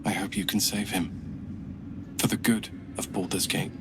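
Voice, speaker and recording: A man's voice: on the low side, flat delivery, a little slow, background noise, close to an everyday voice. A man playing a weary, solemn old soldier in a video game, speaking earnestly and gratefully. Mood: solemn, admiring. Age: older. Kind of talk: video game dialogue acting. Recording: music behind the voice.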